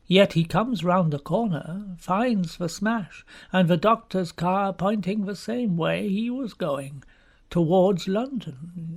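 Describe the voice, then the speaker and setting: A man voicing a slow, grave, sinister doctor. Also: sits high, darkish tone, husky, heavily acted. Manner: slow, deliberate, probing questions. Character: grave, sinister middle-aged male country doctor. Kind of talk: audiobook character reading.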